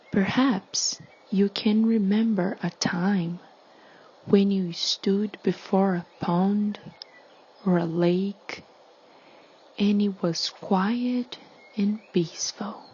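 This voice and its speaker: A woman leading a guided meditation in a soothing, hushed, breathy delivery with long pauses. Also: medium pitch, dull audio.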